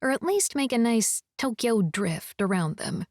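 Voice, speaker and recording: A woman's voice: on the high side, animated, unhurried, a little mellow, no room sound, clean studio sound. An actress playing a bold, playful woman with a cheeky manner in an audiobook drama. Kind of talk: full-cast audiobook acting.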